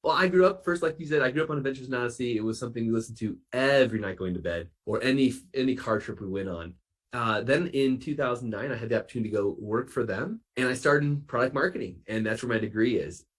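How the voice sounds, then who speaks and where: A man with a husky, medium-pitched voice talks as a guest in an interview. He is chatty and upbeat, explaining things informally and casually. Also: quick pace. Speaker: podcast guest, author.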